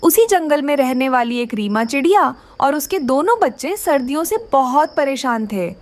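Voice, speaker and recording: A woman's voice: high-pitched, expressive, normal speed. A woman reading scripted narration for a children's cartoon story.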